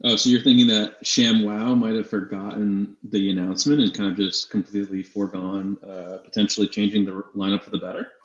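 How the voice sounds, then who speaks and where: A man's voice: lowish voice, little pitch movement, brisk, few pauses. A man co-hosting a fantasy sports show in casual, informal chat, often repeating phrases for emphasis. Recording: slightly dull, echoey room sound.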